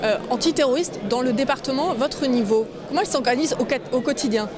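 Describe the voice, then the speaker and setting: Lady tv reporter asking questions, pretty high voice, lots of people talking behind. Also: fast, a crowd in the background. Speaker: local television interviewer. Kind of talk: conversational broadcast interview questions.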